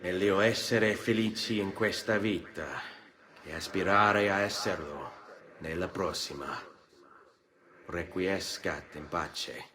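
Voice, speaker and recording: An actor playing a grave, determined young Italian assassin in a video game, with an Italian accent. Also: male voice, low-pitched, a little slow, a crowd in the background. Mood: cold, solemn. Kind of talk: dramatic video game acting.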